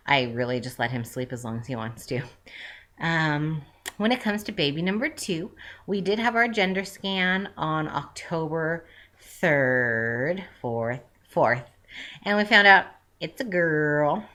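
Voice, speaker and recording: Low-voiced chatty woman in her twenties. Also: animated, measured pace, sharp tone. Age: 20s. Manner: rambling, with frequent "um" fillers and self-corrections. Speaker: vlogger. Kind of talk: casual chatty update.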